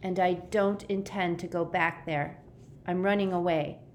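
A woman's voice: on the low side, fairly even intonation, measured pace, slight background noise, lightly acted. A woman voicing a frightened, anxious boy in a storybook, shaky, pleading and emotional. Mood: distressed. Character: frightened, despairing, anxious boy on the verge of breaking down.

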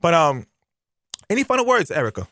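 A man's voice: fairly high pitch, wildly swooping pitch, fairly quick, fairly bright tone, no room sound. A man hosting a casual radio talk show from a studio, playful and joking, cheering his guest on.

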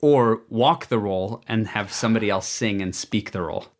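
Man, young adult, stage actor, casual interview, animated storytelling, lots of fillers, low-pitched. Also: moderate pace.

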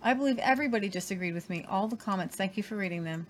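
Animated woman co-hosting a chat show. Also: medium pitch, racing. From Southern Ohio. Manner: animated, repeats herself for emphasis. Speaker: co-host. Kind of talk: casual chat.

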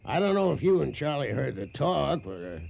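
Gravelly, stammering cowhand. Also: male voice, fairly high pitch, sing-song, a little mellow, exaggerated voice. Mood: hesitant, uneasy. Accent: American Western drawl. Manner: halting, nervous stammer with small chuckles. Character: hesitant, stammering cowhand speaking for the men. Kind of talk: Western radio drama acting. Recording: noisy radio drama recording.

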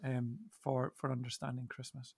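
Creaky-voiced man, musing out loud. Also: medium pitch, flat delivery, moderate pace. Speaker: podcast co-host. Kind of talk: reflective Bible discussion, conversational.

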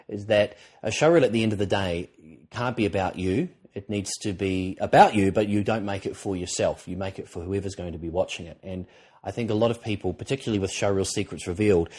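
A man co-hosting a podcast with a croaky voice, talking in an earnest, encouraging way and rambling with restarts. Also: low voice, darkish tone. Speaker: podcast co-host, presenter coach. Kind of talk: casual podcast talk.

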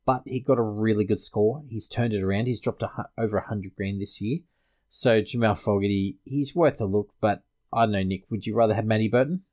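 A man's voice: on the low side, fairly quick, darkish tone. An Australian man hosting a sports podcast, casually discussing fantasy rugby league.

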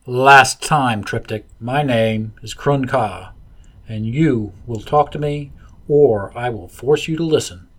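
Guy doing a young wolf pup, clipped, bossy, slow and firm. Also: medium pitch, a bit bright. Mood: commanding. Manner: clipped, commanding. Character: young wolf-like alien father, intense.

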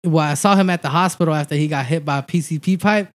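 A man's voice: a little high, fast talker, no room sound. A young man from El Salvador, a stand-up comic, talking loosely as a podcast guest, swearing and laughing a lot. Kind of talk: casual podcast chat.

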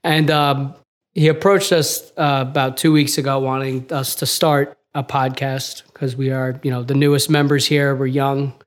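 Young male co-host, fresh graduate, easygoing and chatty, average pitch. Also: normal speed. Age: young adult. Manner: relaxed, many "you know" and "uh" fillers. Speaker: podcast co-host, junior architect. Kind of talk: casual podcast chat. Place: office.

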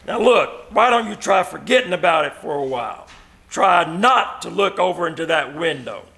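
A man's voice: on the high side, lively intonation, normal speed, gravelly, sharp tone, heavily acted. An actor playing a brusque, skeptical city policeman with blunt street talk, in old-time radio suspense style. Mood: dismissive. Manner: blunt, colloquial, dismissive.

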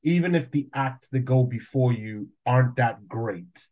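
A man's voice: mid-range voice, a little slow, a little mellow. A man who grew up in Nigeria, an aspiring comedian interviewing a guest with enthusiasm and a joking tone. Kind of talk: casual conversational interview.

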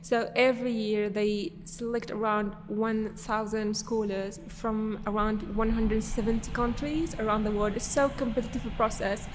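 A woman's voice: a little high, flat delivery, moderate pace, hardly any pauses, long reverb tail, a little hiss or hum. A young woman from Syria, a podcast guest, answering casually with many fillers and restarts.

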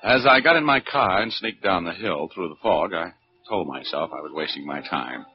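An actor with an American accent playing a wry, persistent private detective in a 1940s radio drama, both in scenes and narrating. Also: male voice, deep voice, expressive, normal speed, music in the background. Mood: wry narration. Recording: muffled, noisy 1940s radio recording.

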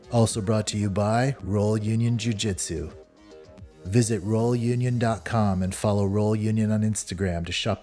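A man reading sponsor ads in a steady, scripted way. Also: on the low side. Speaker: podcast host. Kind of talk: sponsor ad read.

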